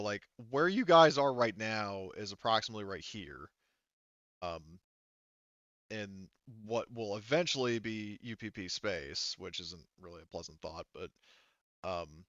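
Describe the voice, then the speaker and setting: A man with a low, clean voice runs a tabletop game as game master. He rambles casually and thinks aloud, and the audio is very dry and slightly dull. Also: fairly bright tone, dry recording. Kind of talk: casual tabletop game narration over voice chat. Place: online voice call.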